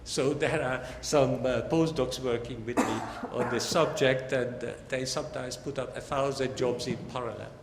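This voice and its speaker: An older man giving a technical academic lecture in a halting, deliberate way. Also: mid-range voice, fairly even intonation. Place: large lecture hall. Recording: echoey hall with long reverb, background noise.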